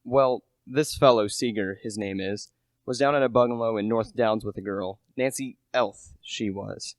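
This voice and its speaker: A man's voice: lowish voice, normal speed, no room sound, close to an everyday voice. A teenage male actor playing an earnest, humble British salesman in a radio play, chatty and a little hesitant. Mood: eager, chatty. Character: earnest, humble British relish salesman narrating a murder mystery.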